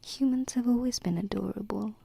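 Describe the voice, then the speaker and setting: A woman whispering softly and intimately into a close binaural microphone in an ASMR roleplay as a gentle, adoring alien princess, with hesitant pauses. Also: mid-range voice, creaky, slightly rough, no echo. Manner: soft, slow, hesitant phrasing with pauses.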